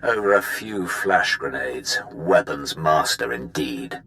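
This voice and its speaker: A man's voice: extremely low pitch, lively intonation, takes it slowly, sharp tone, heavily acted. An actor playing a boastful, self-important male weapons officer in a video game. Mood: aggrieved. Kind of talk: video game dialogue acting.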